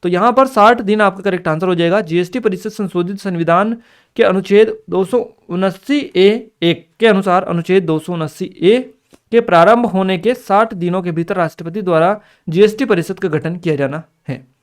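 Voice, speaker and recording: A man's voice: high voice, normal speed. A man giving an instructional exam review, reading out questions and answers and listing points repetitively with small check-in tags. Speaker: exam coaching teacher.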